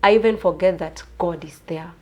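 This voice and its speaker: A woman in her twenties from Kenya, a pageant contestant answering interview questions in an earnest, soft-spoken way. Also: lowish voice, normal speed. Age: 20s.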